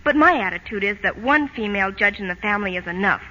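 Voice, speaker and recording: A woman's voice: medium pitch, animated, quick pace, bright, forceful tone. An actress playing a starry-eyed, earnest teenage schoolgirl in breathless, melodramatic 1940s radio style. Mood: dreamy, eager. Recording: dull, noisy old radio broadcast.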